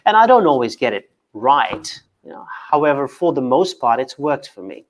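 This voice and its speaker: A man's voice: mid-range voice, big pitch swings, moderate pace. A man who grew up in Kenya and speaks English as a second language, a guest on a video call chat, often repeating words and restarting with a stutter. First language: not English. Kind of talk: casual video call interview.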